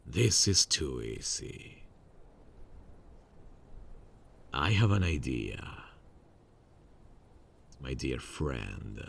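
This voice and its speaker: A man voicing a soft-spoken male serial killer in an ASMR audio drama, calm and quietly menacing, often close to a whisper. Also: slight background noise, heavily acted. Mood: cold amused. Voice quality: gravelly, husky low voice. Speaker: voice actor.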